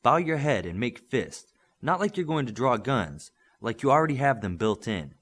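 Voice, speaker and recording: A man's voice: medium pitch, big pitch swings, normal speed, fairly bright tone. A man voicing a firm, encouraging male superhero coach and mentor in an audiobook. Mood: firm, instructive. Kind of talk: audiobook character acting.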